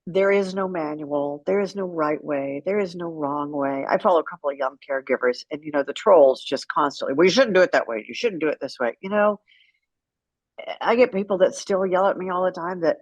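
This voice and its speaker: A middle-aged American woman telling candid personal stories as an interview guest, frank and unfiltered, with dramatic pauses for emphasis. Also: low voice, no room sound, highs cut off. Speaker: guest, writer and former radio personality.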